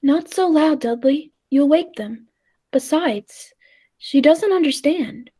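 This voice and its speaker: A woman's voice: sits high, takes it slowly, warm tone. A woman playing an earnest, thoughtful time traveller who narrates a radio play with dramatic storytelling. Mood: calm, coaxing.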